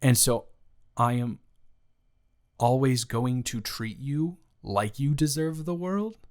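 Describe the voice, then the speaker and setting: A man acts out an earnest ex-boyfriend in an intimate audio roleplay. He speaks slowly in a mid-pitched voice, halting and stammering as he goes. He comes across as tender and a little teasing, often trailing off mid-thought. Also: close to an everyday voice.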